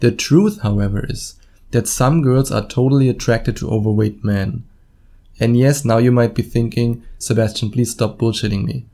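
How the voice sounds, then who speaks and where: Mellow man with a German accent. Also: medium pitch, moderate pace. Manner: informal, blunt, swears casually, acts out imagined quotes. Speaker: podcast host and dating coach. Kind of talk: solo podcast monologue, casual direct address.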